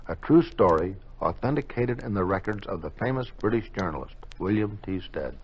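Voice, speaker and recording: A man's voice: low voice, expressive, normal speed, darkish tone, breathy edge. A man hosting and narrating a dramatic 1950s radio program of strange tales. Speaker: narrator and host. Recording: dull 1950s radio recording with music.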